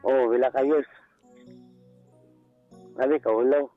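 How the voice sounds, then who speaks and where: Dull-sounding phone caller, halting Filipino man. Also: mid-range voice, fairly even intonation. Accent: Filipino, Cebuano. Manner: halting, repeating himself. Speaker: radio show caller. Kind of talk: casual phone chat. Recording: dull lo-fi phone call on radio. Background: faint music.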